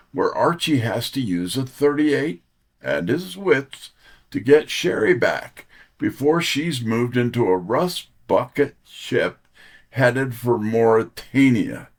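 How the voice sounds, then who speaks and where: A man's voice: medium pitch, breathy edge. A man giving polished, scripted-sounding interview answers as an author, in articulate, dramatic phrases.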